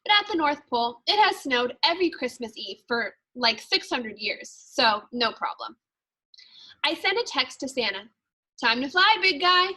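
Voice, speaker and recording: An eager, fretful little Christmas elf from a children's storybook, read by a woman. The voice is high and bright. The delivery is chipper and confiding, with a punctual worrier's urgency. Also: sing-song, normal speed, full character voice, rough recording. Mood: proud, excited, then upbeat, confiding.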